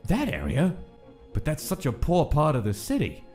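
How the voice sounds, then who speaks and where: Guy doing a snooty old mob don, bossy, big pitch swings, faint music. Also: wildly swooping pitch, normal speed, darkish tone. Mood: haughty, commanding. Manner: pompous, commanding, disdainful. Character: haughty old human mafia don, contemptuous. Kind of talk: comic dub character acting.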